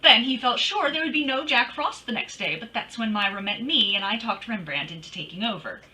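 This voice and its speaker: Radio-drama female sleuth played by a woman: wry, sassy, very sharp and animated, dramatic storytelling, slightly high. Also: brisk, far from the mic, a little hiss or hum, low-quality recording. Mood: matter-of-fact. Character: wry, sassy female private detective telling her case. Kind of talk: old-time radio detective narration.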